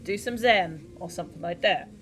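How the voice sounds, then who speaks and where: A woman's voice: fairly low pitch, moderate pace. A woman doing casual live game commentary, chatting to her viewers in an informal, rambling way. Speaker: live streamer. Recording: steady audible background noise.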